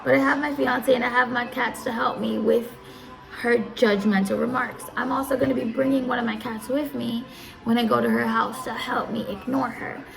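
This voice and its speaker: A woman's voice: fairly high pitch, steady tone, moderate pace, vocal fry, over music, low-quality recording. A woman in her early twenties giving casual, rambling advice as a live streamer, often repeating herself and losing her thread. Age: early 20s.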